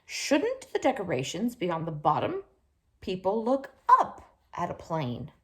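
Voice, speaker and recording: A woman voicing a steady, practical father in a children's storybook, musing in a measured way. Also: lowish voice, full character voice.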